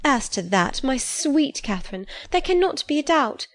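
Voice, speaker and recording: A woman reading an audiobook, voicing an affected, gushing young woman who talks in high-flown exclamations. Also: sing-song, moderate pace. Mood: sighing.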